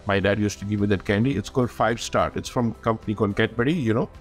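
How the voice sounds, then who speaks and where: A man chats as an interview guest. He talks quickly in an easygoing way, his voice slightly low. Faint music sits in the background. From India.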